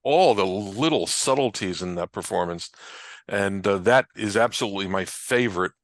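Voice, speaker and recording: An older man reminiscing casually as an interview guest, often halting and restarting mid-thought. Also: on the low side, no echo. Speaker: interview guest, old-time radio researcher.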